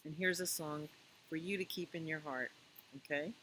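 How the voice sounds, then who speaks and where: A woman hosting a library storytime for young children, reading and chatting in a gentle, conversational way with questions for her listeners. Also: low voice, steady tone, normal speed, a little hiss or hum, low-quality recording. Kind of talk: children's picture book read-aloud and chat.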